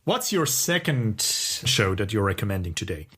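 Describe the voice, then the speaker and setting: A man co-hosting a podcast, reviewing things in a casual, rambling and halting way. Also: fairly low pitch, very animated, a bit bright, breathy edge. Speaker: podcast co-host.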